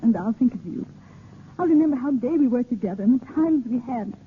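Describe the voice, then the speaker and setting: A woman's voice: fairly high pitch, expressive, a little fast, phone-quality sound, lightly acted. An actress playing a devoted, lovelorn, brave young woman in a 1930s radio drama, with melodramatic pleading. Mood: wistful. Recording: noisy, thin 1930s radio broadcast.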